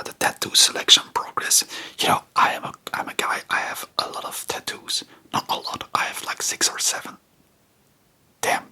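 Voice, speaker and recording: Man whispering rambling ASMR chat. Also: sharp tone. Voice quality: breathy unvoiced whisper. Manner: rambling, hesitant, spontaneous. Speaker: ASMR video host.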